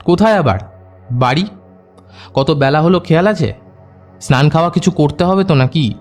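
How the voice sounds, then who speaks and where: An actor playing a thoughtful, decisive private detective in an audio drama, speaking tersely and deliberately. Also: male voice, a little high, close to an everyday voice. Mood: casual. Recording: music under the voice.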